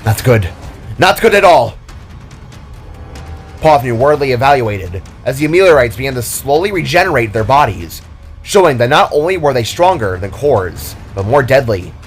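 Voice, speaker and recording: A man's voice: medium pitch, expressive, fairly quick. A man reading scripted fantasy narration in a dramatic storytelling style. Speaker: author and narrator. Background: music.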